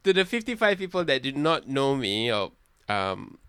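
A man's voice: mid-range voice, sing-song, normal speed, fairly bright tone, clear, resonant tone, dry recording. A man, chatting casually as a podcast host, swearing and giggling a lot. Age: 20s. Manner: giggly, swears often, switches into Malay words. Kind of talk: casual chat.

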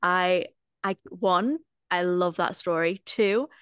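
A woman's voice: fairly low pitch, slow pace, a bit bright, clean tone, no echo. Woman host, enthusiastic, dry close-miked sound. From East Midlands, England. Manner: upbeat, enthusiastic interviewer. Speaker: podcast host. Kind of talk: casual interview chat.

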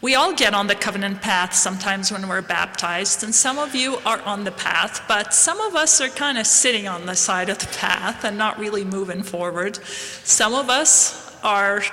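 A woman, from Palestine, giving a slow, measured, earnest devotional address to a congregation. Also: mid-range voice, pushed and bright. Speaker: guest speaker at a church devotional. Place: large historic hall. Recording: long reverb of a large hall.

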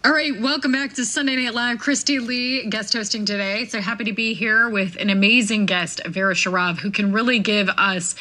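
Female broadcast interviewer, crisp bright edge, mid-pitched voice. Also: steady tone, normal speed, hardly any pauses. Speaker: guest host interviewer.

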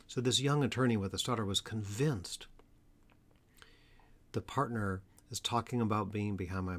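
A man hosting an educational podcast about stuttering, speaking in an earnest, measured way and slowing down on key phrases. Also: lowish voice. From Atlanta area, US. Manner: earnest, measured delivery with slow emphatic phrases. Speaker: podcast host, stuttering therapist. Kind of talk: solo educational podcast talk.

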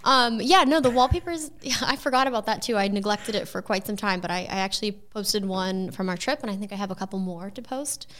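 Animated woman in her thirties, chatting casually. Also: medium pitch, a little fast, few pauses. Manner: animated, exclamatory, casual slangy talk. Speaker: content creator, interview guest. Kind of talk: casual chat.

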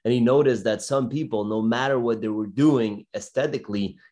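A man's voice: medium pitch, fairly quick, dark tone. A man hosting an interview podcast, talking loosely and rambling with lots of "like" fillers. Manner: loose, rambling, heavy use of "like". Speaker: podcast host.

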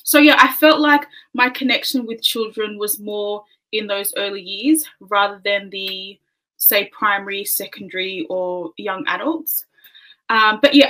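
Bright-voiced woman in casual interview chat. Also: medium pitch, poor audio. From New Zealand, raised in Australia. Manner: modest, many fillers, light laughs. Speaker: podcast guest, early childhood educator.

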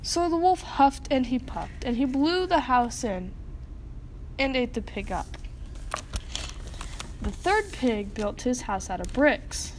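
A woman narrates a children's storybook in a fairly high voice. She reads from the text in a storytelling style, with faint noise behind her. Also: expressive, slow pace, long pauses. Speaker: storybook reader.

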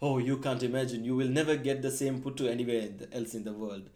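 A man from Sri Lanka talking about home food in casual chat, eager and quick. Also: mid-range voice, mellow.

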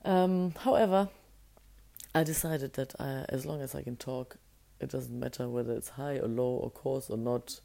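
A woman with a naturally deep voice that is hoarse and strained, musing aloud in a casual solo podcast and often restarting her sentences. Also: fairly even intonation, normal speed, mellow. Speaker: podcast host.

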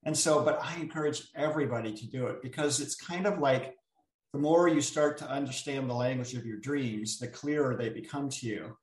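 A man's voice: medium pitch, a little fast. Thoughtful man in a roomy, distant recording. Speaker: author guest. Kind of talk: reflective storytelling chat. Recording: roomy sound, distant from the mic.

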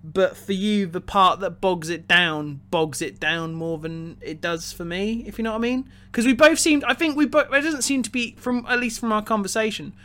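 A man co-hosting a podcast, talking casually about films in a halting way, often repeating words as he goes. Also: sits high, fairly bright tone. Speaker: podcast co-host reviewing a film. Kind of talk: casual opinionated discussion.

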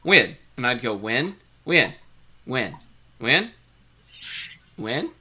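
A man's voice: mid-range voice, very animated, extremely slow, sharp tone, husky. A man with a Midwestern American accent, chatting casually as a podcast co-host and telling wry, rambling anecdotes. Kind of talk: casual chat.

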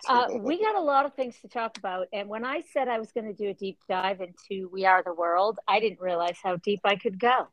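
A woman's voice: mid-range voice, fairly quick, hardly any pauses, lo-fi sound. A woman hosting a casual podcast chat, bubbly and playful, laughing often. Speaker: podcast hostess.

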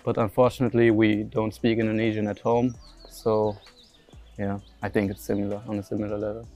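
A young man from Germany, a footballer, answering questions in a flat, even tone. He pauses often and fills gaps with ums and likes. Faint music plays behind him. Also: on the low side. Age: young adult. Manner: hesitant, lots of fillers and restarts. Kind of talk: casual interview answers.

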